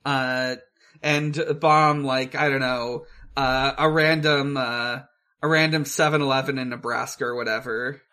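A podcast co-host chatting casually about a film, halting and hesitant, with dry humour. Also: medium pitch, fairly even intonation, pushed and bright.

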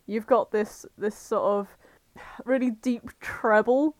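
A woman chatting on a comic podcast. She rambles and laughs often. Her voice sits a little high, and the recording is close and dry. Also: steady tone, slow, dry recording. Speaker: podcast co-host. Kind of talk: casual comic podcast chat.

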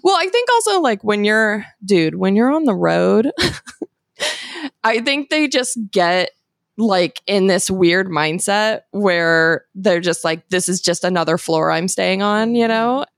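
A woman's voice: medium pitch, lively intonation, takes it slowly, no echo, clean studio sound. A woman co-hosting a casual food-tasting chat, telling stories and laughing often. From US Bay Area. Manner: chatty storyteller, laughs often. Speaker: co-host tasting candy.

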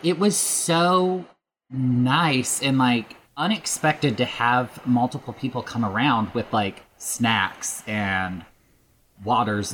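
A woman guesting on a podcast in casual chat, halting and self-deprecating, often saying "like", with noise behind the voice. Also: very deep voice, low-quality recording. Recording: clear background noise.

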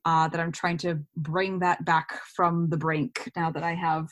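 A woman's voice: low voice, level tone, measured pace, fairly bright tone. A woman chatting informally as a guest in a casual podcast interview. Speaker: podcast guest, actor and cosplayer.

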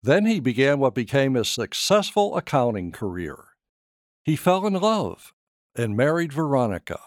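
A man reading his own short story as scripted narration, in a measured, expressive storytelling manner. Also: mid-range voice, no echo, clean studio sound.

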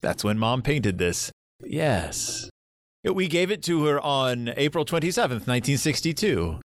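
An actor in an audio drama playing a courteous, lonely grandfather in his seventies, speaking gently and reflectively. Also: medium pitch, sing-song, unhurried, no room sound, clean studio sound. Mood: wistful, reminiscing.

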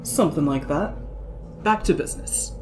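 A performer voicing a smug, scheming alien troll girl in a fan audio drama, talking in a slow, teasing, knowing way. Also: mid-range voice, a little mellow, soft background music, lightly acted. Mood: calm, probing.

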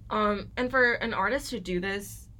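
Young woman co-host, bright and forceful tone, earnest student-style explainer. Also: medium pitch, normal speed. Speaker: podcast co-host. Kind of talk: casual scripted discussion.

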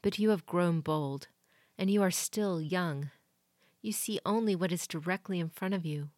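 A woman voicing a stern, proud noble mother who speaks in a measured, commanding way. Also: fairly low pitch, a little mellow, no room sound, studio quality, natural voice. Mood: calm, solemn.

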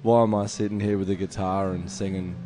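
A man's voice: low voice, steady tone, a little fast, noisy background. An Australian man with an Australian accent, chatting as an interview guest in a reflective way, thinking aloud. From Adelaide, Australia. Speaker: interview guest, touring musician. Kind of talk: casual interview chat.

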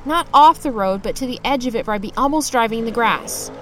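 A woman playing an anxious, scrappy young driver who narrates their misadventures in an expressive audio drama. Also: on the high side, fast talker, soft background music. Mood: narrating, relieved. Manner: expressive, fretful, exclamatory. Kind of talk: dramatised first-person audio fiction narration.

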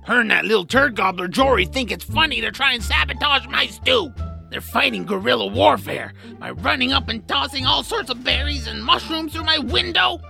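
A woman voicing a cranky, eccentric male troll cook in a family audio drama, with a gravelly voice and an excitable, grumbling, theatrical manner. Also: high-pitched, normal speed, soft background music, full character voice. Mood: furious, ranting. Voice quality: gravelly, creaky.

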